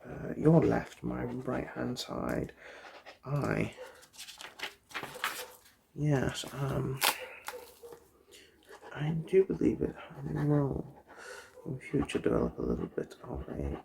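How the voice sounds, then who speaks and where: ASMR optician roleplay: hushed, very gravelly murmur sliding into whisper, hesitant and reassuring, lilting pitch. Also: sing-song, slow, pauses often, a little hiss or hum. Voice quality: soft, breathy, drifting into whisper. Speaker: ASMR performer playing an optician giving an eye exam.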